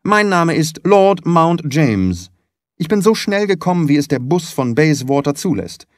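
Audiobook role: cantankerous, miserly little old nobleman , acted by a male reader, screechy, raised pitch, commanding and very animated. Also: a little slow, no echo, clean studio sound. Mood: suspicious, demanding. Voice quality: sharp, screeching. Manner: tense, commanding, indignant. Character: quarrelsome, stingy, twitchy little old aristocrat. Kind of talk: dramatised audiobook reading.

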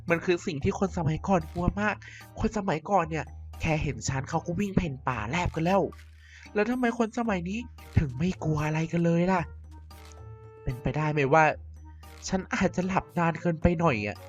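A mischievous ancient vampire queen from a Minecraft cartoon, voiced by a woman. She talks in a fairly low voice, half to herself, plotting tricks with playful glee. Soft background music runs underneath. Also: natural voice. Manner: playful, scheming, muttering to herself.

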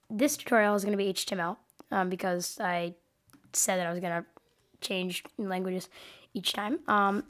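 Young woman, sniffly with a cold, hosting a loose desk-mic coding tutorial, rambling and self-correcting. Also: medium pitch. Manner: rambling, hesitant, many ums and self-corrections. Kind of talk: casual screen-recorded tutorial.

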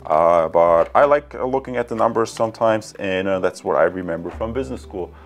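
A man's voice: deep voice, fairly quick. A man in his thirties giving a casual, unscripted product review in a chatty, rambling way, prone to digressions. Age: 30s. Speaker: product reviewer and channel host. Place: indoors in a home room.

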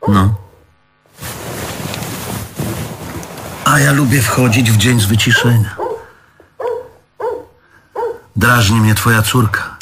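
A man's voice: medium pitch, moderate pace, noisy background, close to an everyday voice. An actor playing a neurotic, bitter middle-aged man in a stage play, querulous and histrionic in a colloquial way. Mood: irritated. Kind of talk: theatrical stage play acting. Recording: live theatre recording with audience.

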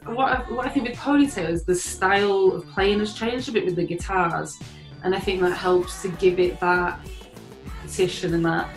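A woman's voice: lowish voice, steady tone, normal speed. A woman, a guitarist chatting casually on a video call, full of fillers. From England. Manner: chatty, many fillers, rising on openings. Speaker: guitarist in a band, interviewee. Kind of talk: casual interview chat. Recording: echoey room with faint music.

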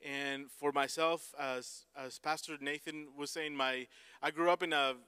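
High-voiced Mexican-accented man preaching. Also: steady tone, sharp tone, smooth. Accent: Mexican Spanish. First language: Spanish. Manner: earnest, halting with restarts. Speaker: missionary. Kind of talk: church pulpit talk. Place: large church stage. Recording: long hall reverb.

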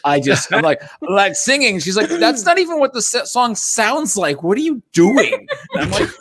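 A man's voice: high voice, big pitch swings, moderate pace, a bit bright. A man bantering casually on a group video call in a joking, self-deprecating way. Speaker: cast member of a table read. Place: indoor room, video call.

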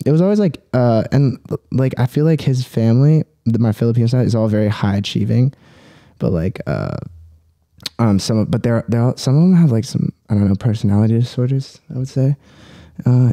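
A young adult man telling personal stories casually as a podcast guest, halting and rambling, with frequent swearing. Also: mid-range voice, dark tone, no echo.

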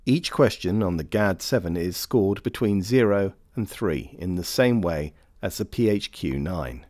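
A man narrates a training film from a script in a fairly low voice. His intonation moves around a good deal while the delivery stays formal and measured. The sound is dry and close, with no room echo. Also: animated, darkish tone.

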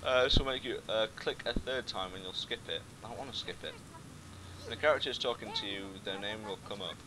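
A man's voice: quick pace, noisy background. A young British man casually chatting and joking while playing a game with a friend. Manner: giggly, jokey, swings in pitch. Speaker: let's play co-host. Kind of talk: casual gaming commentary.

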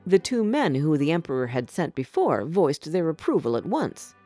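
A woman tells a children's fairy tale in a fairly low voice. Her scripted narration is measured and expressive, with lively rises and falls, and soft music plays behind her. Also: no echo. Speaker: storyteller.

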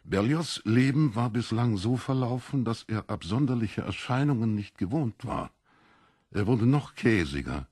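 A man narrating a literary audiobook in a deliberate, expressive, dramatic storytelling manner. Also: medium pitch, husky, no echo, clean studio sound.

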